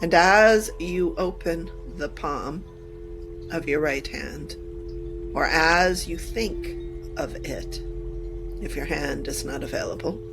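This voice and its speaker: A woman leading a channeled guided meditation in deliberate, halting short phrases with formal wording. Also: low voice, over music.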